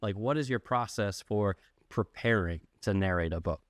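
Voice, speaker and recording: A male podcast host with a fairly low voice and a close, dry sound. He speaks informally and plainly, in the manner of a casual interview. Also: moderate pace, no room sound.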